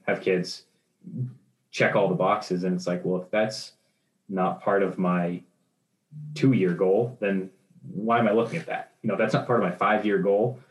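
A man in his twenties talking in a rambling casual discussion, with many fillers and restarts. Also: sits low. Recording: roomy echo.

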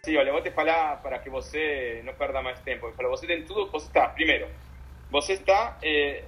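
An Argentine man with a fairly high voice speaks Portuguese with an Argentine Spanish accent. His first language is Spanish, and he builds his answer as a numbered list, stopping and restarting as he goes. Soft music plays faintly beneath him. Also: rough recording. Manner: lists points one by one, halting. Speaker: company founder interviewee. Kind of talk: casual interview answer.

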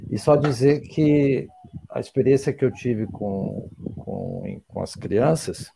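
Gravelly-voiced man, upbeat. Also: medium pitch, wildly swooping pitch, slow, dark tone, slight background noise, poor audio. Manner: upbeat, repeats phrases. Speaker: English teacher, podcast guest. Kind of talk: casual podcast chat.

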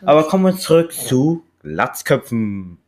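Halting young man from Japan. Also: mid-range voice, big pitch swings. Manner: halting, searching for words. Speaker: podcast guest. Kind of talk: casual podcast chat.

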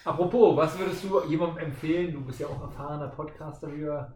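A man's voice: on the high side, quick pace, a little mellow, distant mic. A man hosting a casual podcast interview and putting questions to his guest. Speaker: podcast host. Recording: echoey, off-mic, faint noise.

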